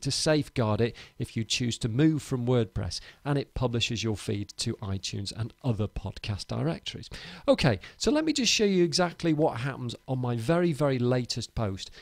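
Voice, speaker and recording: Animated man presenting a tutorial. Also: medium pitch, vocal fry, no echo. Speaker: podcast host giving a tutorial. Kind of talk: screencast tutorial, informal quick tips.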